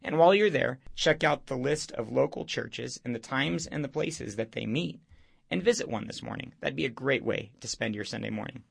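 A male radio show host, hoarse this morning, speaking in an earnest, preaching way. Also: mid-range voice, normal speed, dry recording, clean studio sound. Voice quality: gravelly. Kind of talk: evangelistic radio talk.

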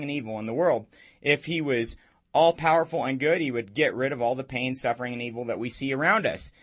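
Raspy-voiced man hosting a faith talk. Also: medium pitch, fairly bright tone. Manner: earnest, explanatory monologue. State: hoarse from a cold. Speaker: radio show host.

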